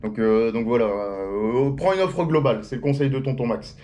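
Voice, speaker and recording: A man talks straight to his viewers in a loose, slangy way, answering their questions and joking along. His pitch sits in the middle for a man. He often jumps much higher to stress a point. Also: moderate pace, dark tone. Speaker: theme-park YouTuber answering viewer questions. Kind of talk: casual chat, FAQ to camera.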